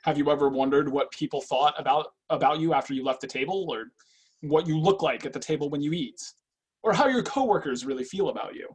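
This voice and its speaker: Drama reading: man plays calm male assessor, probing and businesslike, a touch high and rough-edged. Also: brisk. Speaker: actor in a screenwriters' readers theatre. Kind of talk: staged script reading.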